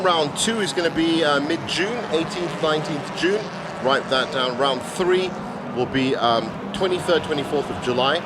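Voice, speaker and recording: Man, slightly hoarse, live motorsport commentary, chatty and enthusiastic, very noisy trackside with vehicles. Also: mid-range voice. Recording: loud engine and outdoor circuit noise. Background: cars and trackside ambience.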